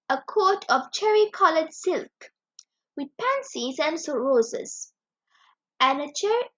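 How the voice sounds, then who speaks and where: A woman reading a children's story aloud as a storyteller, with expressive, animated delivery. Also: high-pitched. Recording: dull lo-fi recording.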